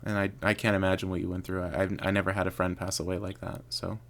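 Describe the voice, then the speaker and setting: A man's voice: sits low, level tone, quick pace, fairly bright tone. A man hosting a podcast, asking casual, loosely phrased questions with many fillers. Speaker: podcast host.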